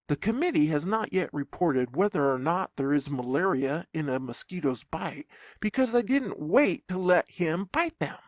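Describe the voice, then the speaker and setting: An audiobook narrator reading a humorous story in a theatrical, expressive way. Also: mid-range voice, no echo, muffled recording.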